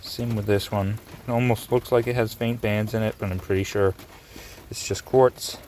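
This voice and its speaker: A man casually narrating his rock hunt on a rainy beach outdoors, relaxed and musing, thinking aloud with small restarts. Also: lowish voice, normal speed, slight background noise. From Canada. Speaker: rockhounding vlogger.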